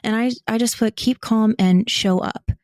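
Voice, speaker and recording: A woman's voice: a little high, normal speed, no echo, clean studio sound. A woman guest speaking casually and candidly in an interview, often saying "like" and acting out her own thoughts. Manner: candid, animated self-quoting, frequent "like". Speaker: podcast guest, audio drama creator.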